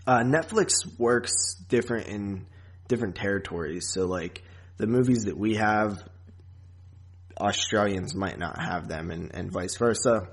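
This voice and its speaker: A man hosting a podcast in a casual, rambling, halting chat style with frequent asides. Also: lowish voice. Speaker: podcast host.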